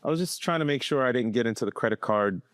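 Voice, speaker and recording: A man in his fifties from Boston, telling his life story as a podcast guest in a reflective, measured way. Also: medium pitch, expressive, dry recording. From Boston, USA. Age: 50s. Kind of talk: casual conversational interview.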